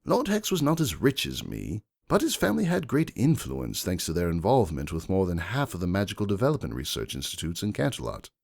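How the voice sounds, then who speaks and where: A man voicing a shrewd, composed aristocratic unicorn stallion who narrates his own story in a measured, calculating way. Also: deep voice, huge pitch swings, vocal fry, darkish tone, heavily acted. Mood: calm, guarded. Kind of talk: first-person dramatic fan fiction narration.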